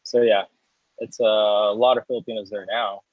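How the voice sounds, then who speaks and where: A man's voice: lowish voice, level tone, normal speed, no echo, highs cut off. A man in his thirties, a guest in a casual interview, rambling through his story with restarts and small laughs. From United States. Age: 30s. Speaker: guest, coffee roaster and business owner.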